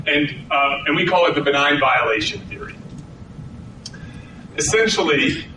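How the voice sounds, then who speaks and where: A middle-aged man giving a conversational lecture to an audience, with frequent restarts. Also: moderate pace. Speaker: researcher giving a talk. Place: hall with an audience. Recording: echoey hall, distant mic, rumbling noise.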